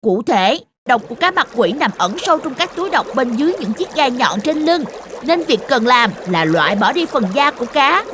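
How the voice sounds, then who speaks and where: A knowledgeable young cartoon explainer. The voice is high and animated, helpful and instructive, over faint background noise. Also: female voice, moderate pace. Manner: instructive, expressive. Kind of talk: children's cartoon dubbing, scripted explanation.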